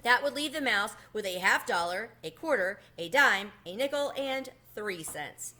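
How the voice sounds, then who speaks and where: A woman reading a children's novel aloud as an expressive storyteller. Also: mid-range voice, a little slow. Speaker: storybook reader.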